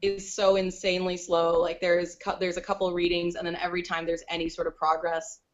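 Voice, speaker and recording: A woman chats as a podcast guest, her words tumbling out quickly and sprinkled with "like." Her voice sits fairly low, and the call audio sounds muffled and lo-fi. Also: flat delivery. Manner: quick, chatty, peppered with "like". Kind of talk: casual interview chat.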